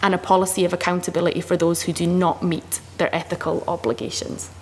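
A woman in her thirties on a panel, reading a list of proposals aloud in a steady, measured way. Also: lowish voice. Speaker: panel speaker. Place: committee room in a parliament building. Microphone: desk microphone. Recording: long reverb with faint room noise.

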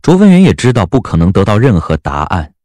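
A man narrating an audio novel as scripted dramatic storytelling, with a measured, expressive literary delivery. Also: fairly low pitch, no echo, clean studio sound.

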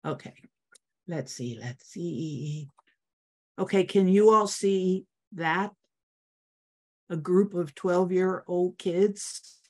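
A middle-aged woman teacher giving an informal online talk, unhurried and thinking aloud with fillers as she goes. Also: lowish voice, darkish tone. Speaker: teacher and guest speaker.

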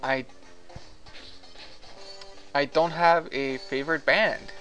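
A man's voice: on the high side, fairly even intonation, takes it slowly, a bit bright, faint music behind. A man whose first language is French, chatting casually in a rambling, hesitant way with lots of fillers while he plays a game. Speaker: gaming vlogger. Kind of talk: casual commentary over gameplay.

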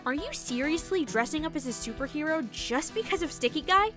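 A woman plays a sardonic teen girl in a cartoon dub. Her high voice is lively and incredulous, with music clearly behind it. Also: fairly quick. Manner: snarky. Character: sardonic, incredulous teenage schoolgirl. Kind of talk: comic dub cartoon acting.

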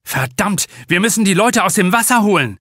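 A man's voice: high voice, expressive, brisk, fairly bright tone, dry recording, studio quality, voice pushed up. A man voicing an urgent, blunt young male lifeguard in an audiobook. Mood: alarmed. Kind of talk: audiobook character dialogue.

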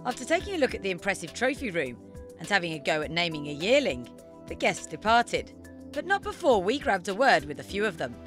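A woman's voice: mid-range voice, big pitch swings, brisk, a bit bright, faint music behind. A woman in her thirties presenting and narrating a scripted television feature as a reporter.

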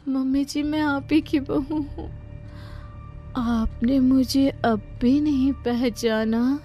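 A woman voicing an eerie, aggrieved young Indian daughter-in-law in an animated horror story, with music underneath. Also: sits high, slow pace. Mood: eerie insistence.

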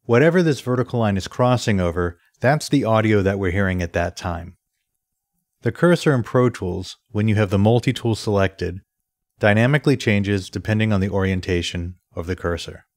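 A man presenting an instructional software screencast in a methodical, step-by-step way. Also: on the low side, normal speed, no echo, clean studio sound. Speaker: tutorial presenter.

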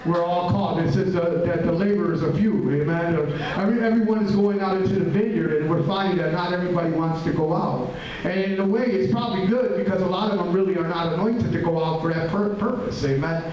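A middle-aged man preaching a sermon in a small church hall, adding "amen" after nearly every phrase, with halting restarts. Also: high-pitched, darkish tone, slight background noise. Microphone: handheld mic, filmed from a far camera. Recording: very echoey room, distant camera.

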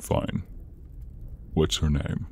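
A man playing a tall, horned, brooding demon in an ASMR roleplay, gravelly, terse and sultry. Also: very slow, mellow, slight background noise. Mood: gruff, wary.

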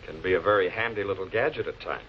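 Fast-talking wisecracking American police lieutenant. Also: male voice, deep voice. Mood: flippant, brisk. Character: wisecracking, hard-boiled male police lieutenant. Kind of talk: 1940s American television crime drama acting. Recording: dull, hissy old 16 mm film soundtrack.

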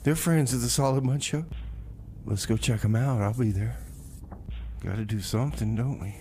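A man works as a community radio DJ, chatting casually between songs in a medium-pitched voice. His delivery is wry and offhand, and there is some noise behind him. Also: normal speed, warm tone. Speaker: community radio music show host. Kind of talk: casual radio DJ talk, announcing songs and local events.

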